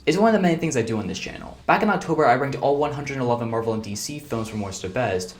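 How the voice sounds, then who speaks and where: A man hosting his own video channel, ranking movies in casual, exasperated commentary that rants and rambles. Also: medium pitch. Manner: exasperated, ranting, fast and chatty. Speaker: YouTube movie reviewer.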